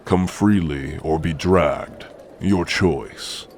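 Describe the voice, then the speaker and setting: A man voicing a stern, gruffly caring orc warrior-healer in an ASMR roleplay, speaking in a formal, commanding way. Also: sits low, very slow, darkish tone, slight background noise. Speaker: roleplay voice actor.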